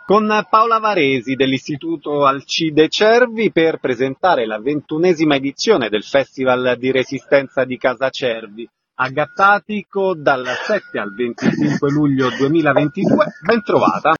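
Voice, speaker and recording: A man's voice: medium pitch, animated, normal speed, few pauses, fairly bright tone, highs cut off, a little hiss or hum. A man presenting as a radio host, announcing items and putting questions to a guest.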